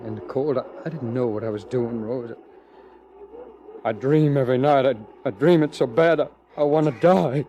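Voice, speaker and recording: A man's voice: mid-range voice, normal speed, mellow, close to an everyday voice. An actor playing an anguished, guilt-ridden man in a radio Western, stammering with emotion. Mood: anguished, remorseful.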